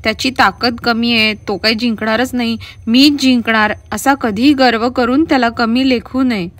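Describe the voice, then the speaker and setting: A woman narrating scripted moral stories for children in an expressive way, speaking directly to them. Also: a little high, normal speed, no echo. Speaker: children's storyteller. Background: background music.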